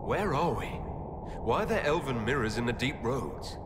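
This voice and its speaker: A man's voice: mid-range voice, lively intonation, unhurried, close to an everyday voice. A man voicing a resolute Inquisitor. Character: human male Inquisitor, a resolute leader. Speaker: player character. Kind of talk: video game voice acting. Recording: game audio with long reverb and loud ambient noise.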